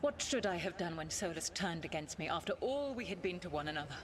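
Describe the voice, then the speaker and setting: Low-voiced imperious ancient elven goddess, played by a woman. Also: animated, noisy background, close to an everyday voice. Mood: bitter, proud. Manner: formal, haughty, deliberate, commanding. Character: ancient imperious elven goddess spirit, proud, stern, testing petitioners. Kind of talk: dramatic video game acting.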